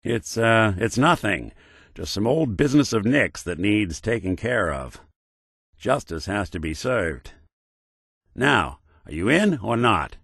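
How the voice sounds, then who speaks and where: An actor playing a world-weary American robot detective in a video game, with a wry, hesitant, hard-boiled noir delivery. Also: male voice, on the low side, sing-song, a little slow, vocal fry, a bit bright, husky, no echo, studio quality, exaggerated voice. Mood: determined. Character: world-weary synth detective, a machine with an old-time cop's personality.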